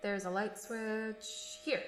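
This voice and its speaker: An actress playing a skeptical young friend in an audio drama, questioning and sardonic. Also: female voice, mid-range voice, steady tone, slow pace, a little hiss or hum, lightly acted. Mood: wary. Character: skeptical, wisecracking young friend tagging along on a mission. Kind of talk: naturalistic audio drama acting. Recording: roomy echo with music behind.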